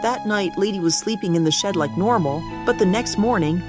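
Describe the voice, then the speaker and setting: A man voicing a kindly steam engine in a cartoon dub, telling an old legend in a measured, earnest way. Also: high-pitched, music in the background, natural voice. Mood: earnest, storytelling. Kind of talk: comic dub cartoon storytelling.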